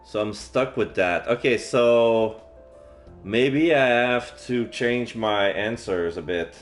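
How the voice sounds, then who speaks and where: A man's voice: medium pitch, slow. A man reading a visual novel aloud on a stream, with casual asides as he plays. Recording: faint music behind the voice. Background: game music.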